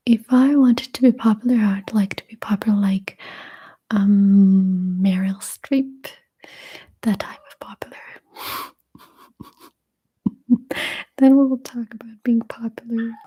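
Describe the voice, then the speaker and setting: A young woman whispers in a very breathy, airy voice, soft and mellow in tone. She talks slowly and casually, a native Russian speaker chatting through viewer questions with a gentle, unhurried manner. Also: on the high side. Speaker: ASMR streamer answering chat. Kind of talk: whispered ASMR Q&A.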